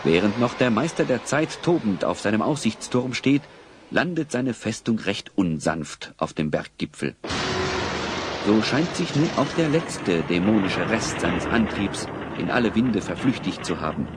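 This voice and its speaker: A man's voice: lowish voice, expressive, measured pace, heavy background noise. A man narrating an audio drama as a dramatic storyteller.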